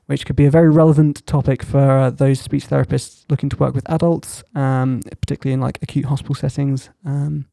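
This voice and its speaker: A man's voice: medium pitch, flat delivery, fast talker, gravelly, few pauses, dark tone, no echo. A man hosting a podcast, introducing his guest and asking questions in a friendly, hesitant way with many ums. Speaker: podcast host. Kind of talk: casual podcast interview.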